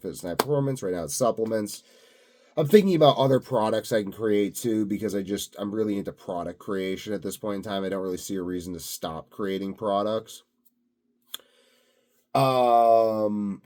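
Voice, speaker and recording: A man's voice: fairly low pitch, darkish tone. A self-assured, blunt man rambling unscripted to his viewers in a grandiose solo monologue. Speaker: solo video host.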